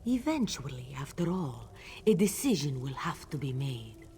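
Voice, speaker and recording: A woman voicing a solemn cat-folk priestess leading sacred rites in a fantasy video game, with measured ceremonial delivery. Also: very deep voice, very animated, dark tone, slight background noise. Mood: solemn explaining.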